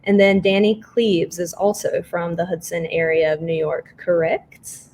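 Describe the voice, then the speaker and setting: A woman's voice: lowish voice, level tone, unhurried, darkish tone, slight background noise. A woman hosting an online artists' panel, introducing guests in a conversational way over a video call.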